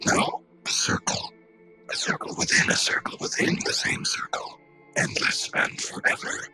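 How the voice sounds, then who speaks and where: A man voicing a cold, obsessive robot villain in a fan dub, halting and ominous like a chant. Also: sharp tone, faint music behind. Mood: prophetic, cold. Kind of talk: scripted fan dub of a comic.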